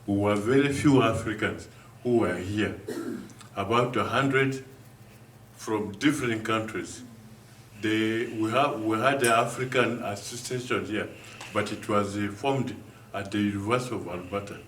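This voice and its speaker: An older man with a low voice addresses a community hall, his speech earnest and halting, full of restarts. He sits well back from the microphone, so the room's echo hangs around every phrase. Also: a bit bright, slight background noise. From Uganda. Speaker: guest speaker. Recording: echoey room from far off.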